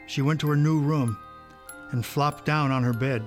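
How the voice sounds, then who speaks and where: A man's voice: a little high, steady tone, moderate pace, soft background music. A man narrating a scripted children's story.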